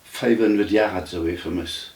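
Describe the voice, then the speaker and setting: Guy in his 90s telling old stories, keeps restarting, low voice, echoey room. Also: steady tone, darkish tone. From Wales. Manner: halting, with many restarts and fillers. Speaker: interview guest. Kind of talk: casual storytelling of memories. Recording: roomy sound from a distant mic.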